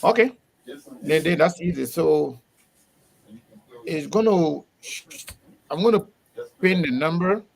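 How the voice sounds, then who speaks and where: A man hosting a casual live interview, animated and quick to laugh, often repeating his words. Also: on the high side, gravelly. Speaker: host.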